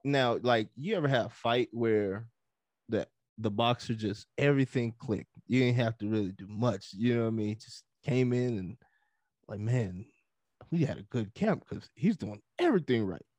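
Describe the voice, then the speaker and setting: A man hosting a casual interview, upbeat and loud by his own account. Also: medium pitch, expressive, moderate pace, no echo.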